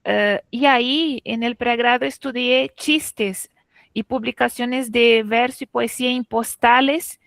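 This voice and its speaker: High-voiced woman with a Chilean accent. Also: fairly even intonation, unhurried, gravelly, fairly bright tone, no echo. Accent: Chilean Spanish. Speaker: guest speaker, literature researcher. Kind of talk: informal academic presentation.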